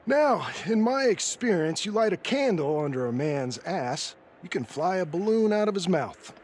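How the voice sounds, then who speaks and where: An actor playing a folksy, skeptical judge in a crime thriller game, wry and authoritative. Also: male voice, high voice, big pitch swings, moderate pace, a little mellow, a little hiss or hum. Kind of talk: dramatic game acting with folksy bite. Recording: faint music under the voice.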